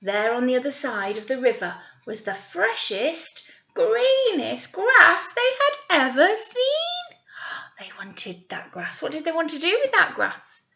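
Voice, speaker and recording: Animated woman reading to young children. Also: high voice, vocal fry, dull audio. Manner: exaggerated, drawn-out words with questions to the listeners. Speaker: teacher reading a picture story to young children. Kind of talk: animated read-aloud storytelling with asides to children.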